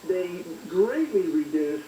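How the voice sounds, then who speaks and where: This man speaks as a doctor on talk radio, chatting casually. His voice sits high but sounds soft and mellow, and he tells his stories in a folksy way with plenty of fillers. The broadcast is a little roomy, with a faint hiss under it. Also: sing-song, takes it slowly. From Pennsylvania. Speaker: physician guest on radio.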